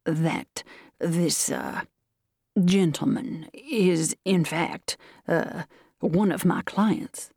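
A woman voicing a flustered, courteous colonel and lawyer in a storybook reading, with halting, stammering, formal speech. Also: lowish voice, darkish tone, slightly rough, no echo, clean studio sound, full character voice. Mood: stiff, hesitant.

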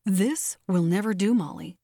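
Storybook lady of the house, done by a woman reader: soft dark tone, faint-hearted, hesitant, reluctantly stern. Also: mid-range voice, sing-song, normal speed, no echo, clean studio sound. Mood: trying sternness. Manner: hesitant, groaning, trying to be firm. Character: Miss Matilda, large, faint-hearted, reluctantly stern mistress.

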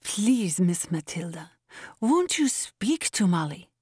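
A woman voicing a pleading, scolding, long-suffering woman servant in a story, with an insistent, drawn-out manner. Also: on the low side, sing-song, darkish tone, husky, no echo, clean studio sound, full character voice. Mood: pleading, exasperated.

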